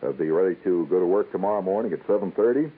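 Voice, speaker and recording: An American man voicing a polite, officious store personnel manager with a formal, halting manner in a radio story. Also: low-pitched, lively intonation, breathy edge. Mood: courteous, businesslike. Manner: formal, hesitant, halting with many uhs. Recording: dull lo-fi radio broadcast.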